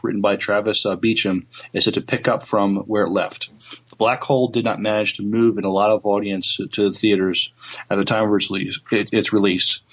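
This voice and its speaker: A man co-hosting a casual podcast, speaking haltingly and repeating words as he goes. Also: on the low side. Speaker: podcast co-host. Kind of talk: casual podcast chat with read-out news items.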